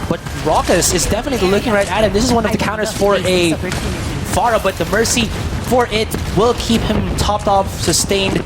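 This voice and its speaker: High-voiced man calling a game match. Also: a little fast. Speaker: esports commentator. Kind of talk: live play-by-play game commentary. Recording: loud music and game sound behind. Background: game audio and music.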